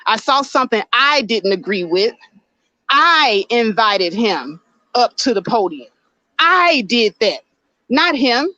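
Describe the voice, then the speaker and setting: A woman's voice: on the high side, sing-song, slow pace, sharp tone, no echo, dull audio. A woman hosting a livestream with a powerful voice, arguing her point bluntly and answering her chat. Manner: blunt and argumentative, reading chat aloud. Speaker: livestream host. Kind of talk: casual livestream commentary.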